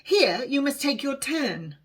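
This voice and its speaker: A woman voicing a brisk, impatient young London maid in a storybook, curt and scolding but kind underneath. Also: high voice, sing-song, pitched above the performer's own voice, heavily acted.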